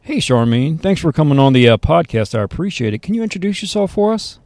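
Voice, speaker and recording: A man hosting a podcast interview, eager and quick to exclaim, often restarting his questions. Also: on the high side, very animated, darkish tone, no echo. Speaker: podcast host. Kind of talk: casual interview.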